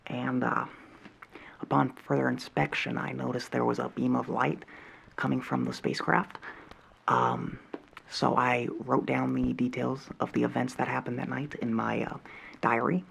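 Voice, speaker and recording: A man voicing a nervous, nerdy male alien hobbyist who hesitates constantly in a soft-spoken roleplay. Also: medium pitch, moderate pace, creaky, slight background noise. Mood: awkward earnest. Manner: hesitant, full of ums and uhs.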